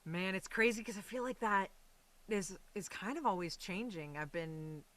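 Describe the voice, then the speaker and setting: A woman's voice: mid-range voice, moderate pace, fairly bright tone. An American woman talking casually and animatedly as an interview guest. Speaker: guest, voice and stage actress.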